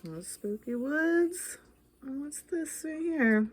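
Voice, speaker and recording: A woman narrates a children's story in a high voice. Her delivery is dramatic and expressive, with big swings in pitch and drawn-out key phrases, as a library storytime reader would do.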